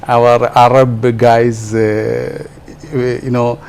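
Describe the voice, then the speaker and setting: A man's voice: mid-range voice, little pitch movement, extremely slow, creaky, darkish tone, clean tone. A man from Kerala with a Malayali English accent, a translator answering interview questions hesitantly with many fillers and restarts; his first language is Malayalam. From Kerala, India.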